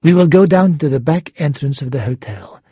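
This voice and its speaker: A man voicing an angry, cold gunman in an audiobook, giving hard, clipped, often hushed threats. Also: on the high side, animated, normal speed, breathy edge, no room sound, studio quality. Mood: cold, commanding. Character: menacing, angry male gunman, cold and commanding. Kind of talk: audiobook character voice.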